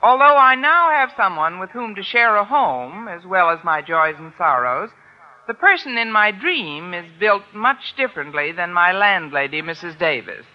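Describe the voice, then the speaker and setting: A woman's voice: on the low side, very animated, normal speed. An American actress playing a wry, sardonic single woman teacher with dry wisecracking delivery in an old radio sitcom. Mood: wry narration. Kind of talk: 1940s-50s radio sitcom acting. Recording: dull, noisy old radio recording.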